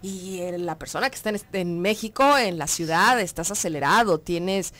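A woman's voice: on the low side, normal speed, fairly bright tone. A young Mexican woman with a Mexican Spanish accent, hosting a morning radio talk show, chatty and full of questions. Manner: chatty, asking questions, greeting listeners. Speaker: radio host. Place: radio studio. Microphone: studio microphone on a boom.

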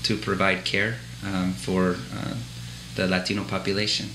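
A man's voice: low voice, flat delivery, unhurried, reverberant, noisy background. A man in his thirties, a physician assistant, speaking Spanish with an American accent learned in the Dominican Republic, hesitating and restarting often in a clinic office interview. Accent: American English speaker with Dominican-learned Spanish. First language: English. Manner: hesitant, many filler sounds and restarts. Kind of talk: interview answers.

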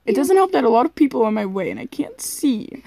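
A woman chatting casually while gaming, excitable and given to exclaiming in frustration. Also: a little high, fast talker, vocal fry. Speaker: gaming video creator. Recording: noisy home recording with clicks.

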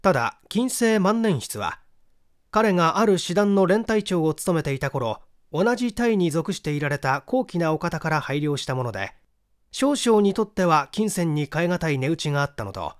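Guy reading a mystery audiobook, lively intonation, dry sound, expressive storyteller. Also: a little high, normal speed, no room sound. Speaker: audiobook narrator, stage actor. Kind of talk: scripted mystery story narration.

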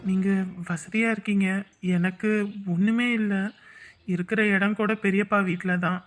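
A woman plays a sincere, humble young man in love in a story drama. The delivery is earnest and halting, at a medium pitch for a female voice. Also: steady tone, faint music behind, natural voice. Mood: downcast, humble. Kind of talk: romantic story drama acting.

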